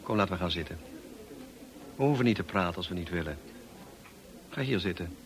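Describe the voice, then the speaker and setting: An actor playing a brusque, dryly witty upper-class gentleman in a radio play, clipped and commanding, on a slightly noisy old recording. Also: low-pitched, expressive, brisk. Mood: dry, teasing. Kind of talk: radio drama acting.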